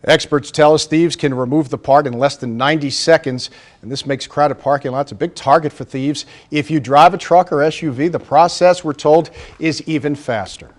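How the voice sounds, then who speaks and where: A man's voice: fairly high pitch, moderate pace. An American man reading a scripted local television newscast as the anchor. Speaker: TV news anchor. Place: TV news studio.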